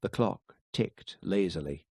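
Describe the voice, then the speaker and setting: Male audiobook narrator, lowish pitch with animated intonation, close dry recording, scripted fiction read. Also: unhurried, gravelly, slightly rough, no room sound.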